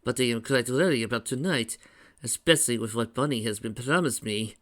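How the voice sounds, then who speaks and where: A man's voice: medium pitch, a little fast, fairly bright tone, close to an everyday voice. A man voicing a fretful, formal French-accented bridegroom who stammers, in an amateur story reading. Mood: nervous, worried.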